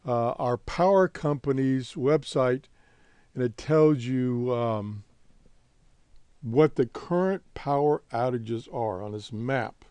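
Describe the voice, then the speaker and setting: Male hobby-show host, American, unhurried and halting, relaxed soft-edged tone, dry close sound. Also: medium pitch, clean tone, no room sound. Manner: halting, laid-back, rambling. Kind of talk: casual unscripted update.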